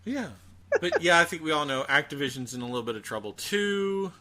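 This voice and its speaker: A man chatting casually as a podcast host, ranting in an animated, profane way. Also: a little high, fairly quick. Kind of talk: casual chat about gaming news.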